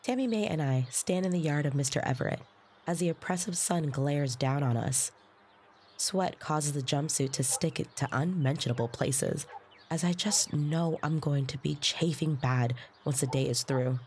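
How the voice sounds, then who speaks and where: An actress playing an earnest young trainee, narrating her story in the first person in a measured, descriptive way. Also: low voice, a little mellow, a little hiss or hum, lightly acted. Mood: wry.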